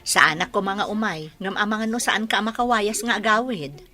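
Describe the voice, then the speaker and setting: A woman's voice: mid-range voice, moderate pace, bright, forceful tone, close to an everyday voice. A woman playing a worried, fussy aunt in a radio drama, speaking in an insistent, cautioning way. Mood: reluctant.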